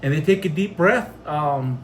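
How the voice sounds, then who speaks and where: A man's voice: on the high side, moderate pace. Casual American man chatting over background noise. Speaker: homestead vlog host. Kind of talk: casual chat reading viewer comments.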